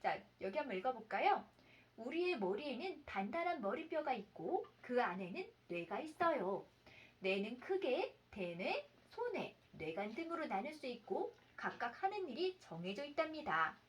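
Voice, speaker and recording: A woman in her thirties, a mother reading a children's story aloud and asking her child questions in an expressive, sing-song, explanatory way, with faint room noise behind her. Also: high voice, moderate pace.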